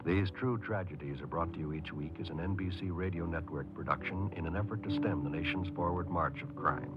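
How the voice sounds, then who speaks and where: A man's voice: deep voice, fairly quick. An American man reading formal, solemn, authoritative narration as a 1950s radio announcer. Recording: tinny 1950s radio, loud music behind.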